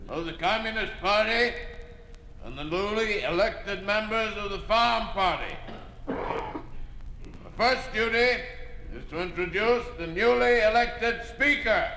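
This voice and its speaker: Cold presiding official, high gravelly voice, declamatory old radio style, echoing chamber. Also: male voice, sharp tone, full character voice. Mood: pompous, official. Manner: formal, deliberate, declamatory. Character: pompous, cold regime official presiding over a senate. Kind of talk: 1950s radio drama oratory. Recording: echoey hall, faintly noisy old radio recording.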